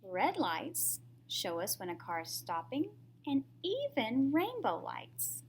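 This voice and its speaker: A woman's voice: a little high, huge pitch swings, takes it slowly, a little hiss or hum. A woman reading a picture book aloud to young children in a teacherly way, often putting questions to the listener.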